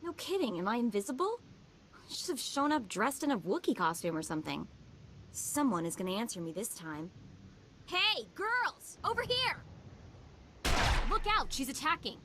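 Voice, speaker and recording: Young woman hacker sidekick, sci-fi game, quick-witted n snarky, chatty, some noise behind her. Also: fairly high pitch, wildly swooping pitch. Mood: exasperated. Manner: sardonic, chatty. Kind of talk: video game voice acting.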